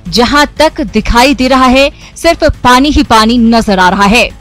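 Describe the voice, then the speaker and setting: A woman reading a scripted news voice-over in a measured, formal way. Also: fairly high pitch, fairly bright tone, soft background music. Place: studio voice-over.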